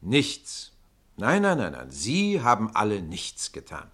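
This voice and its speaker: An actor playing an earnest, composed narrator in a radio play, speaking in a deliberate, formal way to his audience. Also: lowish voice, wildly swooping pitch, fairly bright tone, full character voice. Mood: measured, insistent.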